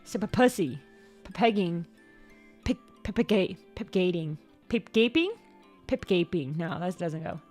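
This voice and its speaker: A young woman chatting casually on a livestream. She rambles, repeats and stumbles over words, and her voice sometimes jumps suddenly into high squeaks. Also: expressive, measured pace, darkish tone. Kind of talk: casual stream chat. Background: faint background music.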